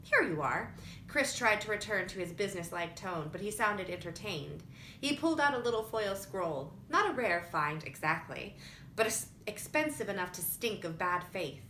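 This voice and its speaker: A woman's voice: expressive, normal speed, sharp tone. A woman reading scripted fiction aloud as an audiobook narrator. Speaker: audiobook reader. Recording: roomy echo with faint rumbling noise.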